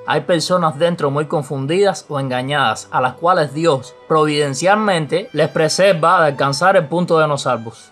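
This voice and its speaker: A man co-hosting a religious discussion podcast, speaking in a calm and assured way and often agreeing with his partner. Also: on the high side, normal speed, hardly any pauses, soft background music. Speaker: podcast co-host.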